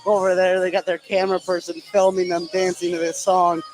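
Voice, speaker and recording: A man giving conversational color commentary on a live high school basketball game from inside a gym. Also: level tone, fairly quick. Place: indoor gymnasium commentary position. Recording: live broadcast with gym crowd noise.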